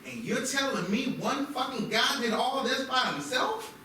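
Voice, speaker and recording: A man's voice: very high-pitched, lively intonation, normal speed, pushed and bright, slight background noise, exaggerated voice. A man playing a blunt, sceptical, demanding police commander in a screenplay read. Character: brusque, sceptical, foul-mouthed male police commander. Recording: echoey room, distant mic.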